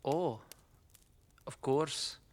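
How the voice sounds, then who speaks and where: An actor playing a shy ten-year-old farm boy, polite and halting, in a fantasy audio drama. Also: medium pitch, a little mellow. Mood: nervous.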